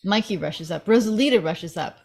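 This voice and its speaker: Female narrator for screenplay action lines, mid-pitched, dramatic scripted read. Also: expressive, brisk, vocal fry, a little mellow. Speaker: narrator reading stage directions at a table read.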